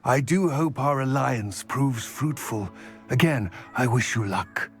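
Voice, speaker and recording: An actor playing a weary older male goblin in a video game, formal, measured and courteous, with a gravelly voice. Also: mid-range voice, expressive. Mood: grave, cautious. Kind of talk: video game cutscene acting. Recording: music under the voice. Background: music.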